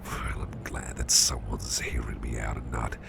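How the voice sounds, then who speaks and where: An extremely deep, gruff male boss, acted by a man for intimate ASMR roleplay, heavy with gravel and half-whispered. He rambles slowly and hesitantly, like a protective, caring confidant. There is clear background noise behind him. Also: little pitch movement, heavily acted. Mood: puzzled, musing. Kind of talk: ASMR roleplay, soft intimate storytelling to the listener. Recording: clearly noisy background hiss or ambience.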